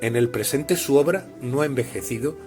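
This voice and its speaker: A male interviewer reads a scripted introduction and questions formally and courteously. His mid-pitched voice sits over clearly audible music. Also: normal speed. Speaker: host and interviewer.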